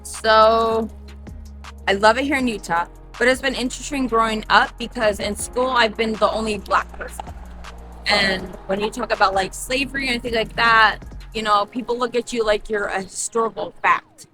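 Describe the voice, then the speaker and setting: A woman's voice: fairly high pitch, steady tone, moderate pace, recorded from a distance, music in the background, rough recording. A woman in her twenties answering a street interview candidly, leaning on "like" as she talks. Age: 20s. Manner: candid, many "like" fillers.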